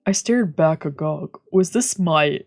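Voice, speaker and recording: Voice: medium pitch, expressive, a little slow. A streamer reading visual novel narration aloud, with casual asides, while music plays behind. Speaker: streamer, let's player.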